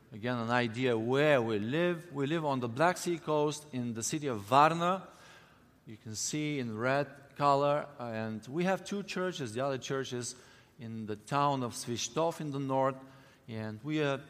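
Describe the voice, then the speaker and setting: A man from Bulgaria with a Bulgarian accent, a missionary giving a friendly, halting church talk with many fillers. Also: mid-range voice, a bit bright. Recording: long hall reverb.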